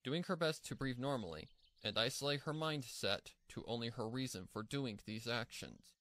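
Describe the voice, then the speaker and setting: A man reads scripted fiction as the narrator. His mid-pitched voice moves up and down a lot, giving the storytelling an animated shape. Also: normal speed.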